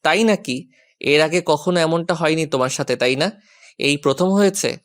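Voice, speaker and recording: A man's voice: on the high side, moderate pace, natural voice. Man acting teasing, grumpy young boyfriend. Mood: teasing, mock-annoyed. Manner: teasing, mock-annoyed. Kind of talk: romantic audio story acting.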